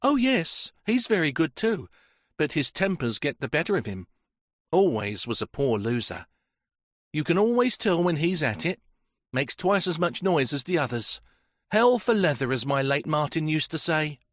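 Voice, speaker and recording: A man's voice: fairly high pitch, very animated, moderate pace, fairly bright tone, dry recording, pitched above the performer's own voice. A talkative old housekeeper woman, as a male reader puts her on, chattering and gossiping about the household. Her voice sits well above a man's and jumps about animatedly. It is recorded dry and close. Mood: gossipy.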